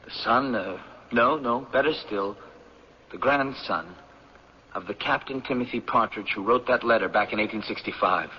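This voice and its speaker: Earnest young male professor, lowish voice, polite, tentative, radio drama, long echo, noisy recording. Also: normal speed, slightly rough, dull audio. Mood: tentative, respectful.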